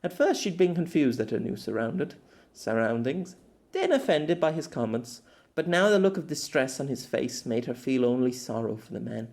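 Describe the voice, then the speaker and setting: Expressive man narrating a story. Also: mid-range voice, normal speed. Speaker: amateur audiobook narrator.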